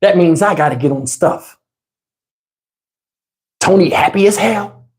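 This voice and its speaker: A man's voice: high voice, measured pace, darkish tone. A middle-aged man telling a story casually as a vlog host, in a slangy, profane and emphatic way.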